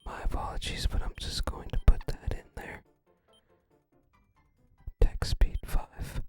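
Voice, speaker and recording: Slow, breathy ASMR whisper. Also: soft background music. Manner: soft, unhurried, musing aloud. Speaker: ASMR video creator. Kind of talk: whispered ASMR, casual unboxing and game commentary.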